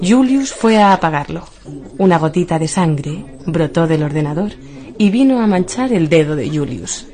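A woman's voice: fairly low pitch, expressive, moderate pace, warm tone. A woman with an accent from Spain narrating a scripted radio story. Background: music.

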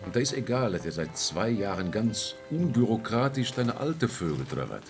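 Blunt Ruhr pal over loud music. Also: male voice, lowish voice, very animated, moderate pace. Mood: grumbling disbelief. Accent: Ruhr area German. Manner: blunt, coarse, colloquial. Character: blunt, grumbling working-class male pal. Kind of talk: German radio drama acting.

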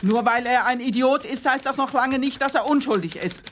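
Gruff, bullying radio-play police interrogator. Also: male voice, very high-pitched, normal speed, a bit bright, a little hiss or hum. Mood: impatient, scornful. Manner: barking, impatient, insulting. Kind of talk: radio crime comedy acting. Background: typewriter clatter.

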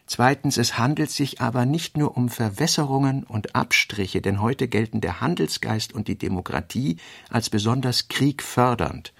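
A man reads out fragmentary notes in a radio-play studio, in a measured, clipped delivery. His voice is gravelly, with vocal fry in it. He sits at a middling pitch. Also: expressive. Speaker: narrator.

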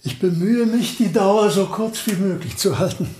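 An absent-minded old professor acted by a man in a radio play, with a fairly high male voice. He is gentle and unfailingly polite, feeling his way hesitantly through ponderous thoughts. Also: moderate pace, lightly acted. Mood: evasive, polite.

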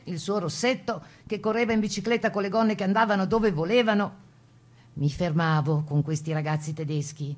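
A woman's voice: on the low side, darkish tone. An actress playing a spirited woman partisan courier, telling her wartime memories as a conversational radio drama monologue full of rhetorical questions. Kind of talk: dramatic first-person monologue.

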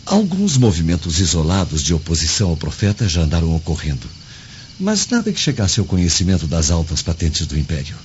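An actor in a radio drama playing an earnest Roman guardian who reports in a measured, declamatory way. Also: male voice, low voice, wildly swooping pitch, a little mellow. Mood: reporting. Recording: dull lo-fi audio with faint noise.

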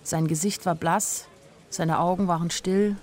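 A woman's voice: on the low side, fairly even intonation, a little slow, darkish tone, natural voice. An actress playing a sensitive young woman who tells her own story in an intimate, literary radio drama narration. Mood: reflective. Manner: literary, intimate first-person telling.

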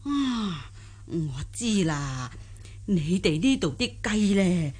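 Slow, wildly animated old country granny. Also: female voice, lowish voice, breathy edge, heavily acted. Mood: wonderstruck. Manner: exclamatory, folksy, wonderstruck. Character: naive, jolly old country granny, clownish and good-humoured. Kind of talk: radio drama acting.

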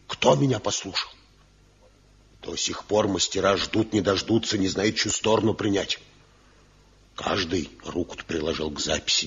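An actor playing a grave, respected old master craftsman who gives firm counsel in a radio drama, with a gruff, husky voice. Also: sits low, a bit bright, a little hiss or hum. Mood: firm, sympathetic. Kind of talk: Soviet radio drama acting. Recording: dull 1970 radio play recording.

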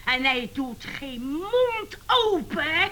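Neglected chatty wife, bright high voice, dramatic complaints, 1980s radio comedy, faintly noisy recording. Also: female voice, very animated, slow, exaggerated voice. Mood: complaining. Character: neglected, chatty wife of an obsessive inventor, laughing bitterly. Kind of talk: 1980s comic radio play acting.

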